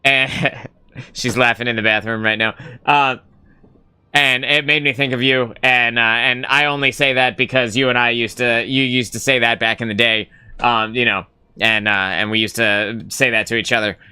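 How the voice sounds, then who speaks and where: Young male stream host, clear bright tone, casual and rambling, swears freely. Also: medium pitch, steady tone, moderate pace. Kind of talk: casual gaming stream chat.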